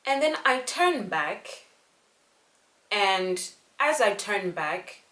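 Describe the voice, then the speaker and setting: A young woman from Zimbabwe, telling a personal story to camera in an earnest, unhurried way. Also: mid-range voice, big pitch swings, pushed and bright. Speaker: vlogger. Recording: echoey room.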